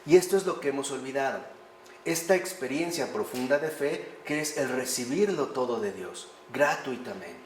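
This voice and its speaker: A man teaching a lively lecture on faith, often posing rhetorical questions to his listeners. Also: mid-range voice, moderate pace, slight background noise. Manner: animated, rhetorical questions to the audience. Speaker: priest teaching a class. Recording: echoey room with faint ambience.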